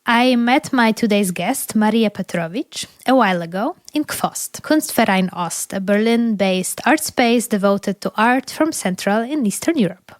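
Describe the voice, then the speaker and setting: A woman hosting a podcast, reading a measured scripted introduction and later asking interview questions. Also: medium pitch, animated, no room sound. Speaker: podcast host.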